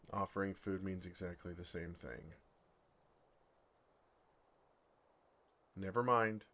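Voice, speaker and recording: A man playing a bashful, lovestruck male dragon in human form for an ASMR fantasy roleplay, speaking in a halting, earnest way. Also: fairly low pitch, sharp tone. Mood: exasperated, sheepish. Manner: flustered, halting, earnest delivery.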